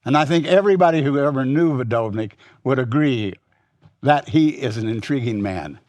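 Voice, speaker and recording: An older man with a Texas accent giving a rambling, reminiscing historical lecture at a symposium, often pausing and restarting. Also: mid-range voice, animated, a little mellow, no echo. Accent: Texan. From Texas, United States. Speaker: symposium speaker.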